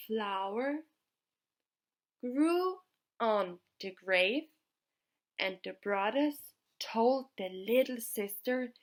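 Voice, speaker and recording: A woman telling a fairytale in a halting, deliberate way, pausing between short groups of words. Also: on the high side, fairly bright tone. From Austria. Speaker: storyteller. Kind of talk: slow fairytale storytelling.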